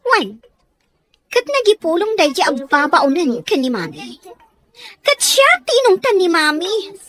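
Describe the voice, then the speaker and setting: A woman acts as a rebellious, resentful young daughter with an Ilocano accent. The voice is extremely high and swings widely in pitch. It is podcast comedy-drama, full of grievance. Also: wildly swooping pitch, measured pace, a bit bright, exaggerated voice. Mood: bitter, aggrieved.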